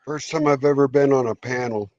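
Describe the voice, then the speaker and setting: A man's voice: mid-range voice, fairly even intonation, a little fast, darkish tone. A man who is a first-time guest on a livestream panel, chatting casually and sounding a bit unsure. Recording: dull lo-fi call audio.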